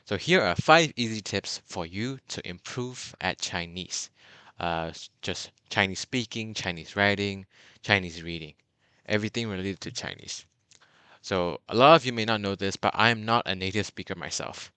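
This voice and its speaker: A man's voice: fairly low pitch, normal speed, pushed and bright, clean tone, no room sound. A young man from Burma giving a casual video lesson for beginners, chatty and full of fillers and restarts. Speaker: language tutor.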